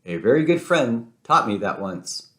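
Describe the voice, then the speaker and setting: A man's voice: medium pitch, big pitch swings, measured pace, roomy sound. A man voicing a confident, friendly race car in a children's storybook, cocky but good-natured in manner. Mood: easygoing.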